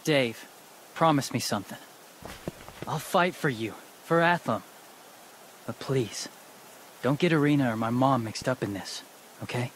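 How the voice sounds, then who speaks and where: A man's voice: mid-range voice, unhurried, pauses often, a bit bright, a little hiss or hum, natural voice. An actor playing an impulsive, loyal teenage boy hero with a casual, emotional delivery in a video game drama. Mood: hesitant, earnest.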